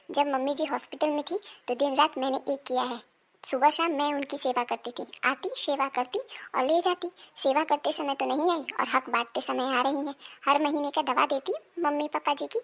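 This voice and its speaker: A woman playing an emphatic, melodramatic married daughter-in-law in an animated family moral drama. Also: high voice, vocal fry. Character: indignant married woman, sharp-tongued wife and daughter-in-law.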